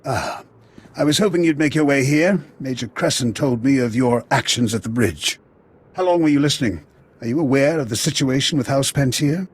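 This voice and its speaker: An actor playing a dignified, earnest leader in a video game, husky and gravely formal. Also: male voice, mid-range voice, normal speed, lightly acted. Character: earnest, dignified male Republic leader giving briefings. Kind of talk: video game cutscene acting.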